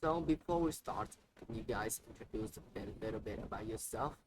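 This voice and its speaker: A man's voice: on the low side, lively intonation, brisk. A man hosting a scripted podcast for English learners, cheerful and upbeat in manner. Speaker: podcast host. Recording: noisy ambient background.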